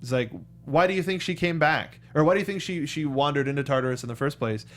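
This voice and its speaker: A man's voice: fairly high pitch, quick pace, a bit bright. An American man co-hosting a review show, talking casually with slangy, enthusiastic fan talk. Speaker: co-host of a film review show. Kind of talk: casual panel chat and review.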